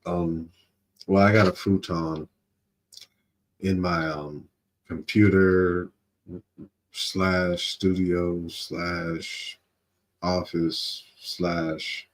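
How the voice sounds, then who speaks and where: A man's voice: low voice, little pitch movement, very slow, long pauses. A man hosting a livestream with casual, rambling, slangy commentary from his home studio room. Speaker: livestream host.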